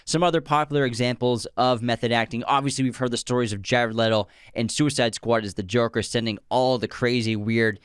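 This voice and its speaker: Young male podcast co-host, smooth clear voice, dry close sound, chatty trivia reader. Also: mid-range voice, fairly even intonation, brisk, few pauses, dry recording. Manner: chatty, reads out film trivia. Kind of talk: casual film chat.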